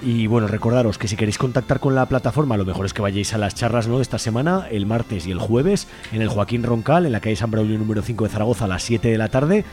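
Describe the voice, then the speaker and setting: A man's voice: on the low side, lively intonation, fast, hardly any pauses, darkish tone, soft background music. A man with an accent from Spain, presenting a radio show in a conversational way. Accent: Spanish from Spain.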